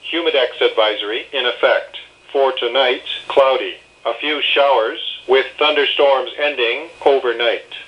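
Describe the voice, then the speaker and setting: A synthetic male announcer with a medium-pitched voice delivers an automated, scripted weather bulletin. The phrasing is segmented, item by item. It comes through a dull, lo-fi radio broadcast. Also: a little slow.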